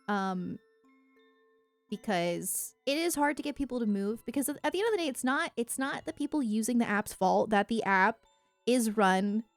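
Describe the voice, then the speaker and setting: A woman with an American accent chats casually to livestream viewers in a rambling, informal, opinionated way, close on a streaming mic. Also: medium pitch. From United States. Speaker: live streamer and content creator. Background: music.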